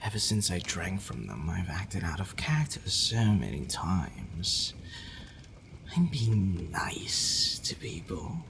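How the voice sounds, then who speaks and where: A man playing an imperious but secretly softening male vampire in an ASMR roleplay, drawling theatrically with murmured asides. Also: low-pitched, big pitch swings, slow, creaky, noisy background, exaggerated voice. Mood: brooding, conflicted.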